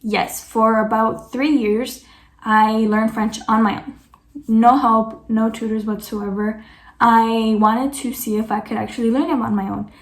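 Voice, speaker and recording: A woman's voice: a little high, steady tone, unhurried, roomy sound. A woman vlogger answering viewer questions in casual chat, chatty and peppered with "like" and self-corrections.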